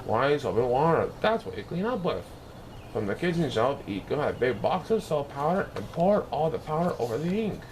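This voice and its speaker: Male storybook reader, fairly high voice with lively swings in pitch, scripted children's narration, audible background noise. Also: normal speed, poor audio.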